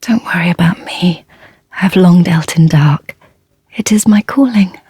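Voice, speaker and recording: An actress playing a gentle, devout and fearful blind young nun in a dark fantasy game, speaking softly and humbly in a breathy, whispery voice. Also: female voice, fairly low pitch, no room sound, studio quality. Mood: humble, devoted. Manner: halting, humble, formal, reverent.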